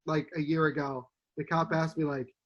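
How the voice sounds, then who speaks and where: A man's voice: fairly high pitch, moderate pace, highs cut off. A man in his twenties co-hosting a podcast, chatting casually and acting out the people in his stories. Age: 20s. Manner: animated storyteller, acts out quotes. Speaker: podcast co-host. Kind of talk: casual chat.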